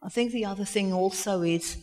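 A woman of sixty, speaking from the audience in a plain, halting, personal way. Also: medium pitch, a little mellow. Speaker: audience member at a community talk. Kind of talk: personal comment from the floor. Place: reverberant indoor hall. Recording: echoey hall with long reverb.

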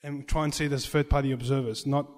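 Earnest halting man in a reverberant hall. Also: medium pitch, fairly even intonation. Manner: halting, many restarts, earnest and conciliatory. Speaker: church chanter. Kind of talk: Q&A discussion.

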